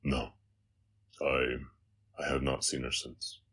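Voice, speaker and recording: A man as a very deep, slow Southern orc cowboy. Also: flat delivery, a bit bright, husky, heavily acted. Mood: somber, tender. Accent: slight Southern American. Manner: halting, hesitant, reflective. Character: gruff, gentle orc cowboy, haunted by his past. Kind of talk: slow intimate audio roleplay monologue.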